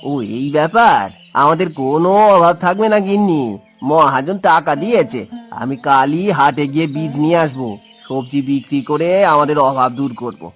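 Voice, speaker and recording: A man's voice: a little high, normal speed, soft background music, lightly acted. An actor playing a poor, kind-hearted farmer and father in a cartoon folk tale, speaking earnestly and dramatically. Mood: cheerful, hopeful.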